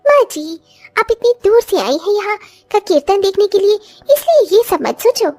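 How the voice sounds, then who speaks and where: A woman's voice: very high voice, big pitch swings, moderate pace, mellow, faint music behind, exaggerated voice. A woman voicing an eager, dutiful young village daughter-in-law in a cartoon story, sweet and reassuring. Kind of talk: Hindi cartoon story voice acting.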